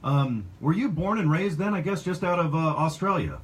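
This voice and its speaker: A man's voice: fairly high pitch, expressive, normal speed, slightly rough. A man hosting a casual radio interview, talking in a rambling, chatty way with lots of fillers. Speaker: radio host.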